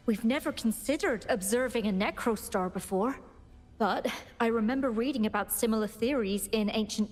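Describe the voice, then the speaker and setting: A video game research leader, a woman with a somewhat high voice. She is earnest, determined and articulate, used to giving orders. Faint music plays behind her. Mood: thoughtful realization. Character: authoritative scientist woman leading a research team, earnest and determined. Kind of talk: scripted video game voice acting.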